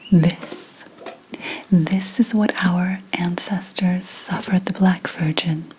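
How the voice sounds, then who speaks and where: A brooding old-world witch healer acted by a woman whispers breathily in an ASMR roleplay. She speaks very slowly and solemnly, conspiratorial and dramatic, in a lowish voice. There is clear noise behind her whisper. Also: darkish tone. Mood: grave, brooding. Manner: solemn, dramatic, deliberate pauses.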